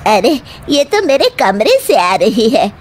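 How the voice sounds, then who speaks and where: A woman's voice: on the high side, big pitch swings, slow pace, slight background noise. A woman voicing an exasperated older mother-in-law who scolds her daughter-in-law. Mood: worried. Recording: faint music behind.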